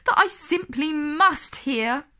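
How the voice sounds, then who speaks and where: A woman voicing a sly, mean old male storybook creature, fake-sweet and gloating in a drawn-out way. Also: sits high, animated, pushed and bright, full character voice.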